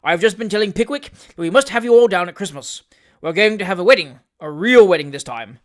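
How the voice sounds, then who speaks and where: A reader playing Wardle, a hearty booming old squire. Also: lively intonation, quick pace, natural voice. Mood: boisterous. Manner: boisterous, loud, booming cheer. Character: jovial hearty old country gentleman. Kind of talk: audiobook character reading.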